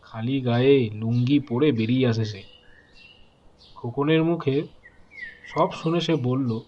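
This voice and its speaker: A man narrating a horror story dramatically, in measured short phrases with pauses. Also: medium pitch, dark tone, lo-fi sound, slight background noise.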